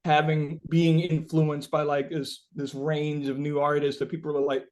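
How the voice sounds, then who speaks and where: A man being interviewed as a musician, talking casually and haltingly as he searches for the right words. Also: a little high, completely flat delivery, a little mellow.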